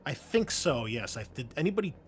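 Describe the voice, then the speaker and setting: A man running a tabletop roleplaying game as game master, explaining rules and scenes with thinking pauses. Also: mid-range voice, sing-song, slight background noise. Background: music.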